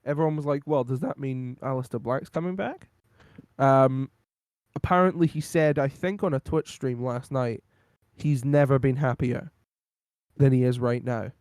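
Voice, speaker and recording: A man with a worn, hoarse voice talks casually through a podcast recap, wandering from point to point with plenty of ums. His voice sits mid-range and the sound is close and dry, with no room around it. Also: medium pitch, moderate pace, a little mellow, no room sound. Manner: rambling, lots of ums and laughs. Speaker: podcast co-host. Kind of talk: casual wrestling recap chat.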